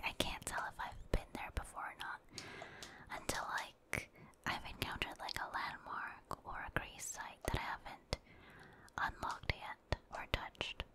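A woman speaks entirely in a soft, breathy whisper. She narrates her gameplay in an ASMR style, thinking aloud without hurry and addressing viewers casually. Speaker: game streamer, ASMR creator.

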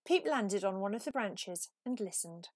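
Animated woman reading a children's story. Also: mid-range voice, moderate pace, no room sound, clean studio sound. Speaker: storybook reader. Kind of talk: expressive children's story read-aloud.

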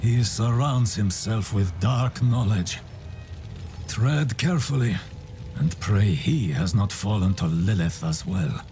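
A man's voice: fairly low pitch, animated, warm tone, faint music behind. A man playing a stern, devout holy man who fears demons, speaking solemnly in a fantasy game cutscene. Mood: stern, grave. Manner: solemn, measured, pious. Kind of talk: dramatic video game cutscene acting.

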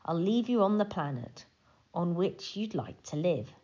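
A woman voicing a tiny, friendly alien tour guide in a children's storybook, speaking in expressive, inviting rhyme. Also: lowish voice, measured pace, dull audio. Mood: gentle, inviting.

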